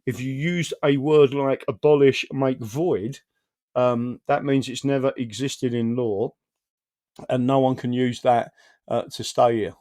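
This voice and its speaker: A man's voice: medium pitch, steady tone, a little slow, a little mellow. A man co-hosting political commentary, explaining carefully with hesitations. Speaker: co-host.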